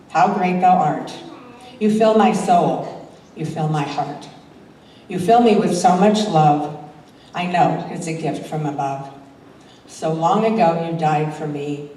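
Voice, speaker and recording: A woman's voice: low-pitched, dark tone. An older woman introducing and reciting her own poetry to a live audience at a church talent show. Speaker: amateur poet reading own poems. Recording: very echoey hall, distant mic, faint noise.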